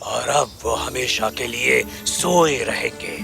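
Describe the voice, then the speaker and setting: A man voicing a menacing sorcerer in a fairy tale, with slow, theatrical, incantatory delivery. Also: mid-range voice, gravelly, pushed and bright, faint music behind, full character voice. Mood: gloating, menacing. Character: menacing male sorcerer casting wicked curses. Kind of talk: audio fairy tale voice acting.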